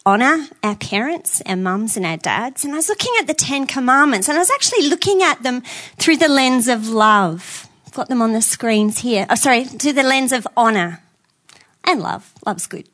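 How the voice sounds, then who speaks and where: A woman's voice: fairly high pitch, fairly bright tone. A woman preaching a conversational sermon in a church, earnest, with self-deprecating asides. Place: church auditorium with screens.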